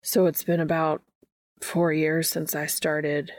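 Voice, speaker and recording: A woman voicing an embarrassed adult man in an audiobook, confessing to a support group in a halting, stammering way. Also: sits low, flat delivery, no echo. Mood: sheepish, hesitant. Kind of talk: audiobook character dialogue.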